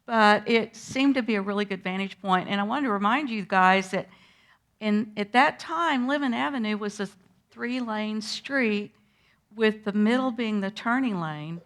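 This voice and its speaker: An older woman with a lilt tells a lively story from her youth. She acts out the moments as she goes, and her voice sits at a middle height. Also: medium pitch, moderate pace. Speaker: interview guest recalling a historic day. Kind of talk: storytelling to schoolchildren.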